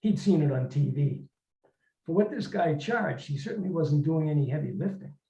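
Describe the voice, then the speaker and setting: In a roomy, slightly dull recording, a male author narrates his own literary short story. His voice is mellow. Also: a little high, animated, moderate pace. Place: indoor room at a reading event.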